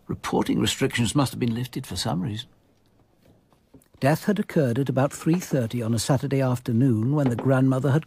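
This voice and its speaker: An actor playing a measured, observant police detective narrating his investigation in a radio drama. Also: medium pitch, creaky, a little mellow, slight background noise.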